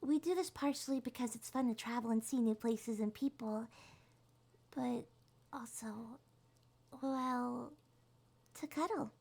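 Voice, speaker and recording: Woman playing a little monster girl, shy and sweet-tempered, slow unhurried delivery, mellow soft-spoken tone, hesitant, reassuring, ASMR roleplay. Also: on the high side, creaky. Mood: gentle, chatty. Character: small, shy, gentle cuddle-loving monster girl.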